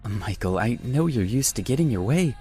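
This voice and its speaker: A man's voice: medium pitch, animated, moderate pace, dark tone, faint music behind, voice pushed up, natural voice. A man voicing a worried young woman who pleads anxiously with her brother. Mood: worried, pleading. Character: worried protective young woman, his sister.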